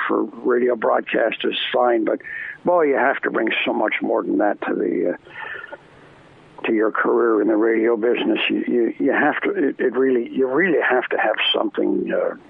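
A man's voice: medium pitch, normal speed, gravelly, husky, no echo. An older man from Canada, a former arena announcer, chatting as an interview guest, rambling with many restarts and fillers. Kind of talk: casual interview chat. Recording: tinny phone line.

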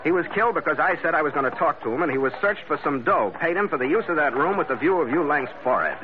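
A man's voice: a little high, expressive, racing, natural voice. A man acting a cool, shrewd skipper turned detective in an old radio drama, with a clipped, wry, hard-boiled delivery. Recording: muffled, noisy old radio recording.

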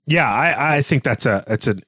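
A man's voice: mid-range voice, lively intonation, normal speed, pushed and bright, breathy edge, no echo, studio quality. A man co-hosting a podcast, explaining literature in an earnest, bookish and analytical way. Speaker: podcast co-host. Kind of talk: literary discussion, analytical commentary.

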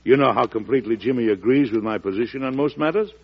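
An actor playing a shrewd, folksy US president in an unhurried, genial, oratorical manner, in old-time radio drama style. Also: male voice, medium pitch, creaky, slightly rough. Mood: shrewd bargaining. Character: shrewd, folksy, wily US president, a seasoned father. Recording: muffled classic radio broadcast recording.

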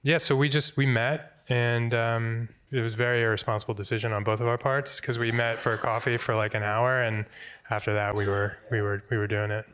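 A man's voice: mid-range voice, flat delivery, a little fast, a bit bright, clean tone. A middle-aged man with an American accent, chatting casually as an interview guest about his working life. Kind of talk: casual conversational storytelling.

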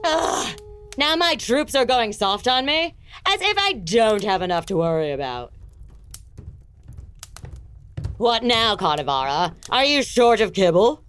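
A woman's voice: on the high side, big pitch swings, normal speed, a bit bright, clean tone. A woman voicing an imperious, impatient evil empress in a comic fantasy audio drama, haughty, exasperated and scornful. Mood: weary irritation.

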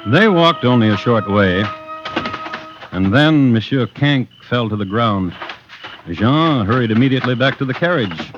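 A muffled vintage radio recording of an American man narrating crime stories over prominent music. His manner is wry and deliberate, with varied intonation. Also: medium pitch, lively intonation. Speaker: host and narrator. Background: prominent musical accompaniment.

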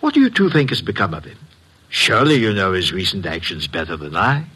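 An actor playing a formal, guarded, well-to-do middle-aged gentleman in a radio drama, courtly and emphatic. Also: sits low, very animated, a little fast, breathy edge, exaggerated voice. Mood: puzzled. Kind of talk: old-time radio drama acting.

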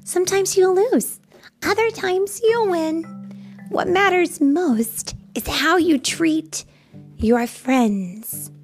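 A woman's voice: very high voice, slow, soft background music, pitched above the performer's own voice, full character voice. A woman voicing a kind, encouraging female animal friend in a children's story, with an earnest, sing-song delivery. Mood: gently reassuring.

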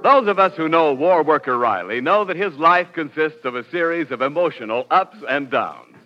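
A man's voice: on the high side, animated, normal speed, soft background music. A male announcer delivering scripted narration in a polished, emphatic 1940s radio style. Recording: dull vintage radio recording.

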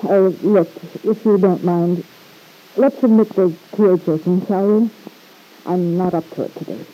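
A woman's voice: on the low side, a little slow. An actress playing a proud, hard-edged young married woman in an old-time radio drama, with cool, sardonic retorts. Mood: sardonic, brittle. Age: young adult. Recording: dull, lo-fi vintage radio recording.

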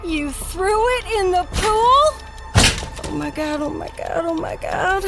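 A woman's voice: very high voice, expressive, slow, loud music behind the voice, heavily acted. A woman playing a frantic, unstable mother, panicked and pleading.

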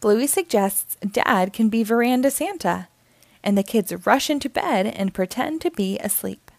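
A woman reading a children's story aloud in an expressive, deliberate narrating style. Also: fairly high pitch, gravelly, dry recording. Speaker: storybook reader.

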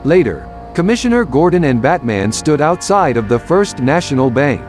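A man's voice: fairly high pitch, very animated, normal speed, a little mellow. A man narrating a scripted superhero story as an audio drama narrator. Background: music.

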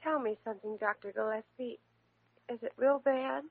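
Worried, hesitant woman patient, fairly high, raspy, old radio. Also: steady tone, normal speed. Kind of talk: 1940s radio acting. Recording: tinny old radio recording.

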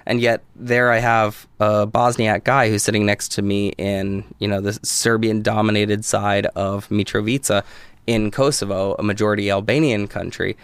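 A man from Southern California, a podcast guest telling a story in casual conversation, with quick restarts and lots of "like" and "you know". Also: fairly low pitch, flat delivery, smooth, no echo.

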